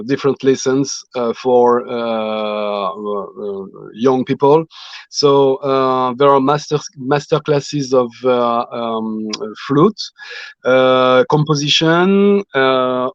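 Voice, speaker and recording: A man with a French accent, speaking English as a second language in a halting interview chat full of fillers and restarts. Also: medium pitch, clean tone, no echo. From French Guiana. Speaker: guest musician. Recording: dull lo-fi sound.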